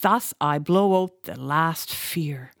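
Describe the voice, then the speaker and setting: A woman's voice: low voice, slow pace, creaky, no echo, studio quality, heavily acted. A woman voicing a defiant old male sea captain, declaiming in grand, impassioned speech. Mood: fierce.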